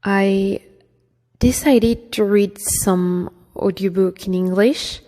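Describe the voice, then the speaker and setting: A woman with a strong French accent reading a fantasy novel aloud as an amateur audiobook reader, slowly and carefully, sometimes stumbling. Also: mid-range voice, steady tone, dark tone. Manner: slow and careful, with some stumbles. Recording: long hall reverb.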